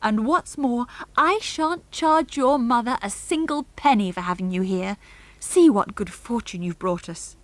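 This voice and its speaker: A woman voicing a kindly, flustered farm mother in an audiobook, effusive and soft-hearted. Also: fairly high pitch, expressive, a little slow, no room sound, voice pushed up. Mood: grateful.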